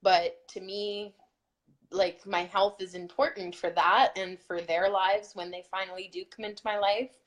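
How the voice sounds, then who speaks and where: A woman of middle pitch chats casually, giving earnest encouragement. Her talk is loose and open, full of "like" as she speaks. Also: flat delivery, unhurried. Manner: earnest, pep-talk tone with filler "like". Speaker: fitness challenge group co-host. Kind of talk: casual motivational chat.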